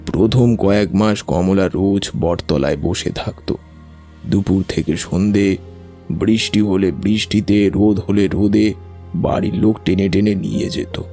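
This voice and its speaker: A man acts out a grave, reticent older village uncle in an audio story, recalling old secrets. The voice is deep and dark, dragging haltingly, with music underneath. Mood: sombre.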